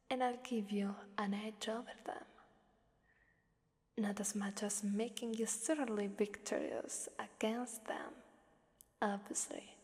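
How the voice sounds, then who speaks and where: A woman voicing a seductive, taunting Krampus demoness in an ASMR roleplay, half-whispering with playful menace. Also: a little high. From Italy. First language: Italian. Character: seductive, taunting female Krampus demon ruling a fighting arena. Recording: long cavernous reverb.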